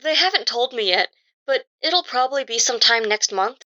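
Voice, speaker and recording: A woman's voice: a little high, lively intonation, moderate pace, sharp tone, low-quality recording. An actress playing an anxious young British woman in a naturalistic audio drama. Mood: cheerful, chatty. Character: anxious young woman doubting her own sanity.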